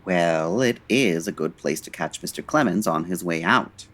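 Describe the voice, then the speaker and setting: Man acting as suave 1940s gentleman detective, very low voice, dry wit, formal, unflappable, radio mystery comedy. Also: animated, moderate pace. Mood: wry, composed. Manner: dry, urbane, formal wording.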